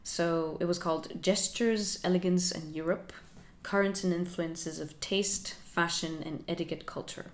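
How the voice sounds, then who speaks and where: A young woman talks through her learning journey for her channel. She is soft-spoken and reflective, with a slightly low voice, and she laughs lightly as she goes. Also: steady tone, unhurried, hardly any pauses. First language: Finnish. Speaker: channel host. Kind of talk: casual talking-head vlog.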